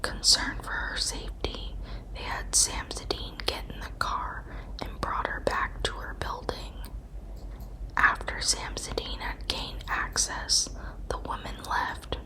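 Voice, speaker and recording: A woman narrating scripted true crime as ASMR in a breathy, unvoiced whisper, with measured, deliberate storytelling. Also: poor audio.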